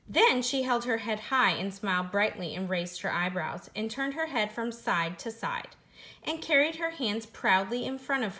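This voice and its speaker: Woman doing a kids read-aloud, teacher-like, deliberate and expressive, medium pitch. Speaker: storyteller reading a picture book aloud. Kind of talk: children's read-aloud narration.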